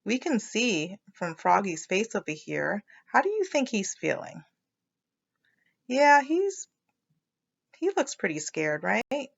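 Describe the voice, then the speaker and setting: Slow, animated woman teaching a read-aloud. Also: medium pitch, gravelly, muffled recording. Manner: explanatory, thinking aloud, prompting listeners. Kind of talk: children's read-aloud lesson with questions.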